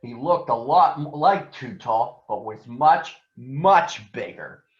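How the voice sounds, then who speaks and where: A man reading a children's story aloud in an expressive, measured manner. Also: mid-range voice. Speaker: storybook reader. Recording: dull, echoey room.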